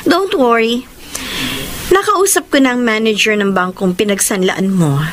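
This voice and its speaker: An actress playing a seductive, scheming rich woman in a Filipino radio drama, with a teasing, deliberate delivery. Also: a little high, background noise, close to an everyday voice. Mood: sly, confident.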